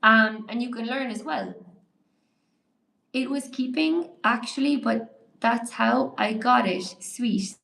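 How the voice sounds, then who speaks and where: A woman with a fairly high voice teaches English live online, answering viewers' messages between quiz questions. She speaks in a chatty, encouraging way while she types. The sound is roomy, with noticeable echo. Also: slow pace, vocal fry, fairly bright tone. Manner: chatty, encouraging, reacts to chat while typing. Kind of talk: live-stream lesson reading viewer comments. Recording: echoey room.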